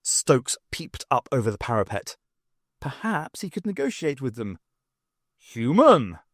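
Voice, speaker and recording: A man narrating a fiction audiobook in an expressive, wry storytelling manner. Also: medium pitch, moderate pace, no room sound, clean studio sound. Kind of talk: dramatic scripted narration of fiction.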